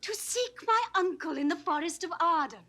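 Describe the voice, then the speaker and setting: Spirited young noblewoman, British accent, high-pitched, bright tone, impassioned, articulate, classical audio drama. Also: expressive, normal speed, heavily acted. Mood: resolute. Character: devoted, spirited young noblewoman, the duke's daughter. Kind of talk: 1960s Shakespearean audio drama acting.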